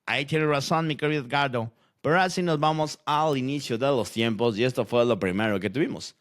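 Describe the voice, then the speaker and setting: A man's voice: on the high side, fairly bright tone. A man playing a logical-minded character who explains storytelling in a scripted educational audio fiction. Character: logical-minded man debating how stories work.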